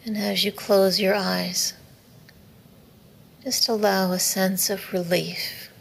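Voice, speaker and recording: A woman teaching a guided meditation in a soothing, measured, instructive way, with soft, breathy phrase endings. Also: mid-range voice, little pitch movement. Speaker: yoga nidra meditation teacher. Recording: faint background noise.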